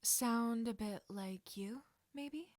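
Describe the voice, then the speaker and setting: A woman's voice: a little high, slow pace, a little mellow. Woman playing a confident, caring teenage witch. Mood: casual. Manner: firm, casual, non-judgmental. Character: confident teenage witch girl, caring, bossy, quick-witted.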